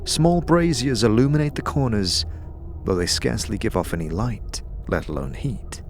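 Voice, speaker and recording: A man narrating a scripted second-person fantasy story as an atmospheric, measured storyteller. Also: lowish voice, expressive, faint music behind.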